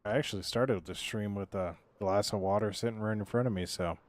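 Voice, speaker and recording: Hoarse low-voiced man streaming casually. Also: a little fast. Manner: laid-back, rambling with trailing "so" and "yeah". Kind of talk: casual livestream chat while building in a game.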